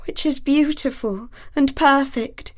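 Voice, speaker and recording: Woman reading a heartbroken young goddess, sobbing, high and hushed; storybook. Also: slow pace. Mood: tearful. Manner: sobbing, pleading. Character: grieving young goddess, gentle and ashamed.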